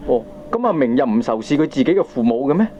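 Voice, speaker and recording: A man's voice: medium pitch, sing-song, fast talker, mellow, close to an everyday voice. An actor with a Hong Kong accent playing a doting, aggrieved middle-aged father, deferential and questioning, in a radio drama. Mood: deferential, resigned. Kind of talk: Cantonese radio drama acting. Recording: dull, slightly noisy old cassette recording.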